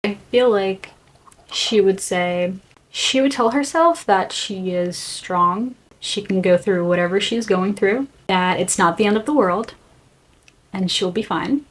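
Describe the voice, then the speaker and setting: Young woman. Mid pitch, candid and earnest, halting phrasing. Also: poor audio. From Brazil. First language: Portuguese. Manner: earnest, reflective, speaks in short halting phrases. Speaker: vlogger. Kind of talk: candid personal reflection to camera.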